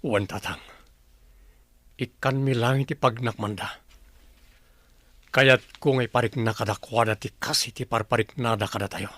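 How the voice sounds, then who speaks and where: A man's voice: mid-range voice, steady tone, normal speed, long pauses, a bit bright, no echo, close to an everyday voice. A man playing a determined young son who leads villagers in a radio drama, earnest and resolute. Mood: pleading, determined.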